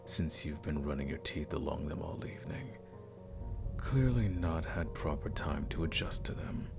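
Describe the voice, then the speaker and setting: A man playing a suave, predatory aristocratic vampire in an intimate ASMR roleplay, speaking formally and seductively with a teasing menace and breathy drops toward a whisper. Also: rumbling low, heavily acted. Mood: menacing, playful.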